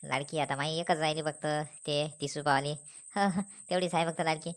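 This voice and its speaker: A woman plays a roguish old villager in a comic cartoon. He rambles chattily at a fast clip, slightly low in pitch, in a rural Maharashtrian accent. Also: steady tone, close to an everyday voice. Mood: jokey.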